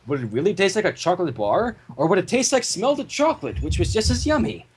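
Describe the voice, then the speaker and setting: Husky, animated fan fiction narrator. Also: mid-range voice, fast. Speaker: narrator and reader.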